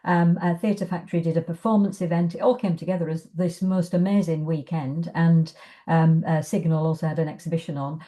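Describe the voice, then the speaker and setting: Mellow, low-voiced Englishwoman chatting casually. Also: steady tone, brisk. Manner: hesitant, chatty, thinking aloud. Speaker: arts organisation guest. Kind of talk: casual interview chat.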